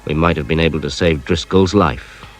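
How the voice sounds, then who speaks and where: An actor playing a weary, dry, curt British police inspector in a radio drama. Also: male voice, extremely low pitch, normal speed, soft background music. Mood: regretful.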